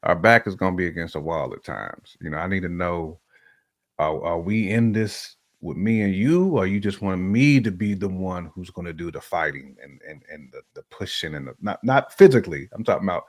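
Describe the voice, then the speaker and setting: A man's voice: low-pitched, expressive, fairly quick. A man giving his opinions in casual conversation as a podcast guest, emphatic and often repeating phrases for emphasis.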